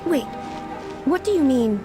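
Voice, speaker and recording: A regal game ruler, speaks with formal composure. Her voice is fairly high, with wide melodic movement. She sounds aloof yet quietly curious, with music playing behind. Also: expressive. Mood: composed, matter-of-fact. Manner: formal, measured diction. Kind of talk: video game character acting.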